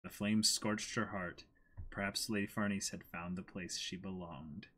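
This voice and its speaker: A man's voice: low voice, steady tone, a little slow, fairly bright tone. A man in his twenties who switches between reading a story aloud dramatically and chatting casually about it. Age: 20s. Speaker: host reading a manga aloud and reacting. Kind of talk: dramatic reading mixed with casual reaction chat. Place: small indoor room against a plain backdrop.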